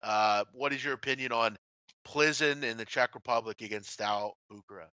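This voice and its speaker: Man, betting show host, forceful bright tone, opinionated, colloquial, close dry lo-fi sound. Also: mid-range voice, normal speed, clean tone, no echo. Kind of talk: casual betting tips discussion.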